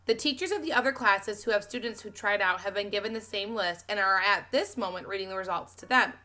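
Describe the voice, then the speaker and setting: A woman voicing a proud male schoolteacher with a formal, deliberate, dry manner, reading from a storybook. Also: medium pitch, sharp tone, faint music behind, natural voice.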